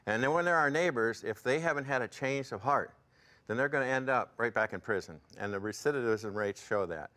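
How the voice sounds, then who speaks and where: A man's voice: medium pitch, a little fast, sharp tone. Plain-spoken man in his sixties. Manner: plain-spoken storyteller, fervent. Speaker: ministry board member, guest. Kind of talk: interview testimony. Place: television studio set, indoors.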